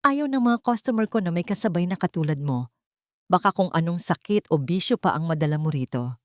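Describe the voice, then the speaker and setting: A woman's voice: fairly low pitch, animated, a little fast, dry recording, clean studio sound, natural voice. A woman voicing a harsh, dismissive eatery owner in a drama story. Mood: cold.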